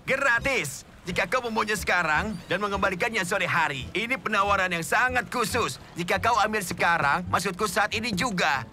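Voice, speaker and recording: Sly high-pitched cartoon smuggler. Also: male voice, lively intonation, normal speed, sharp tone, faint music behind, heavily acted. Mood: slyly persuasive. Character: shifty, sly smuggler posing as generous boat owner. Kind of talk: animated children's comedy dub.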